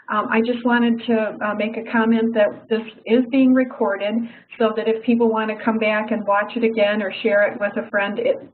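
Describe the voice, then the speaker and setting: A woman hosting a webinar, giving a halting formal introduction with many uhs. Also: mid-range voice, a little hiss or hum. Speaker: webinar host. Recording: tinny phone-line audio.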